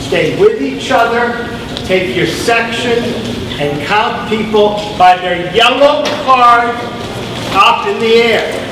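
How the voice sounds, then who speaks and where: A man's voice: very high voice, animated, slow pace. A man moderating a public town meeting, plainspoken and firm as he gives instructions to the crowd. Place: large auditorium. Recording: echoey hall, mic far off, noisy.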